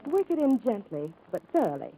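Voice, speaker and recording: A dainty young sweetheart in an old radio ad. Her high voice lilts expressively and sweetly over loud music. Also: very animated, a little fast, low-quality recording. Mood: sweet, dreamy. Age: young adult. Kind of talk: 1940s radio ad acting.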